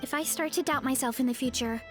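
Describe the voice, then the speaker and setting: A woman's voice: high voice, quick pace, loud music behind the voice, close to an everyday voice. A woman voicing a cheerful, hungry young heroine in a game, friendly and a little hesitant. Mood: warm.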